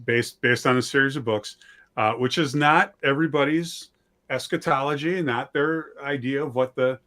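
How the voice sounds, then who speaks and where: A man's voice: mid-range voice, normal speed, sharp tone. A middle-aged man chatting casually as an interview guest, with folksy, self-deprecating phrasing. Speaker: interview guest, audio drama writer. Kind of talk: casual chat.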